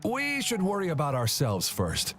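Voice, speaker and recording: A man's voice: fairly high pitch, wildly swooping pitch, moderate pace, fairly bright tone, faint music behind. A man playing an eager, boyish young relay racer in a video game, bubbly, enthusiastic and easily flustered.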